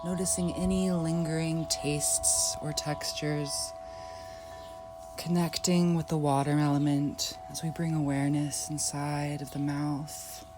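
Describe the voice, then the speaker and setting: Slow, low-voiced woman guiding meditation. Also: little pitch movement, over music. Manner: soft, unhurried, invitational guiding. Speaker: yoga nidra guide. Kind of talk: guided meditation.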